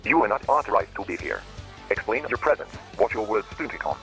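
A synthetic male voice playing a brisk, suspicious robot military officer in a dubbed game story. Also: fairly low pitch, big pitch swings, sharp tone, exaggerated voice. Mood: suspicious, commanding. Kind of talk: text-to-speech dub of a robot game story. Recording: faint music behind synthetic voice.

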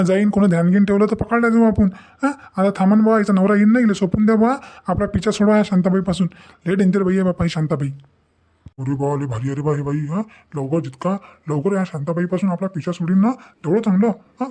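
Man acting a blustering cartoon kidnapper, high male voice, hurried, exasperated complaining. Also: a little mellow, close to an everyday voice. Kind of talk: Marathi cartoon comedy acting.

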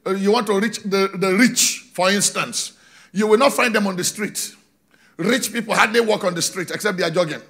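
A middle-aged man preaching on a large church stage into a handheld microphone, animated, slowing down for emphasis and shouting key points. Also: sits high, vocal fry, breathy edge. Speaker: pastor. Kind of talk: sermon. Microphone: handheld mic.

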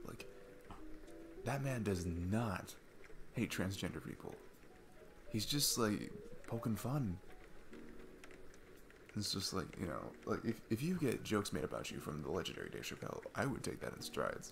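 A man playing a sweet, chatty dad on a date in an ASMR roleplay, rambling enthusiastically with halting restarts. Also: on the low side. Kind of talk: ASMR audio roleplay, casual rambling talk.

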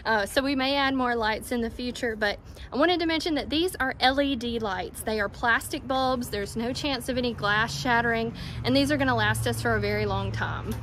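A woman's voice: fairly high pitch, normal speed, fairly bright tone, a little hiss or hum. A woman, chatting upbeat to a handheld phone as she works around her farm and barn. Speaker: homestead vlogger. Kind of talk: casual vlog talking to camera. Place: outdoors on a farm and inside a barn. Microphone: handheld phone at arm's length.

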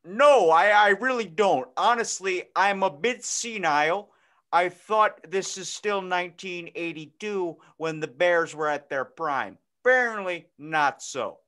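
A man's voice: high-pitched, unhurried, bright, forceful tone. A man plays a folksy old dad in a comedy sketch. He is oblivious and chatty, rambling along in a raised voice. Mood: confused, chatty. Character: folksy, clueless senile dad, Bears football fan. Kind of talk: improvised comedy phone-call skit.

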